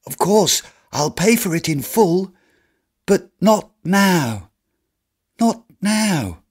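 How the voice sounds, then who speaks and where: Freezing beggar for a storybook, voiced by a man, pitched far up, pleading and humble, with lively swings. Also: drawn-out words, slightly rough, heavily acted. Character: freezing poor man begging for coal.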